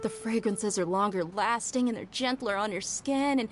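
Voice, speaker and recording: A woman's voice: medium pitch, moderate pace, slight background noise, natural voice. A woman playing a reserved female city guard in a video game, speaking in a composed, matter-of-fact way. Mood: composed interest.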